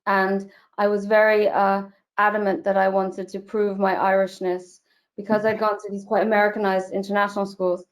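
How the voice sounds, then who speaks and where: A woman's voice: mid-range voice, flat delivery, moderate pace. A woman raised in Switzerland and Germany, speaking as an interview guest over a video call in a chatty, digressive way. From born in Northern Ireland, raised in Switzerland and Germany. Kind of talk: casual interview chat.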